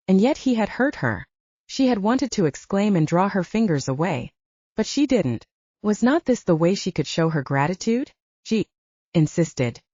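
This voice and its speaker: A woman's voice: medium pitch, sing-song, normal speed, warm tone, breathy edge, dry recording, dull audio. A woman reading literary fiction as a scripted audiobook narration, moving between story and dialogue.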